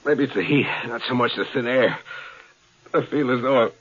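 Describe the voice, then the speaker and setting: An actor playing an anxious young expedition companion in 1950s radio drama, earnest and hesitant. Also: male voice, medium pitch, moderate pace, a bit bright, close to an everyday voice. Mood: weak, breathless. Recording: noisy, muffled 1950s radio broadcast.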